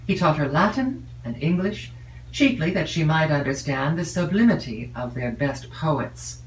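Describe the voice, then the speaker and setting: Roomy, distant recording of a woman narrating. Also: low-pitched. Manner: measured, expressive reading with drawn-out phrases. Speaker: audiobook narrator. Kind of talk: scripted literary narration of a classic novel. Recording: roomy, distant mic with faint noise.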